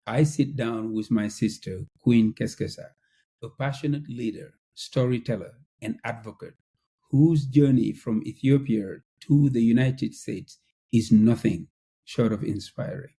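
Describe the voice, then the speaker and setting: A male podcast host with a very dark, husky voice. He reads a formal introduction in a measured way and then moves into interview questions, speaking at an ordinary pitch.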